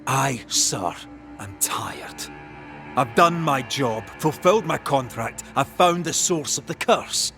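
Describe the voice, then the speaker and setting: An actor playing a weary, blunt ghost hunter in a video game, stern and accusing, with measured, emphatic delivery. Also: male voice, mid-range voice, expressive, sharp tone, faint music behind, heavily acted. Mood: exasperated. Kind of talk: dramatic video game acting.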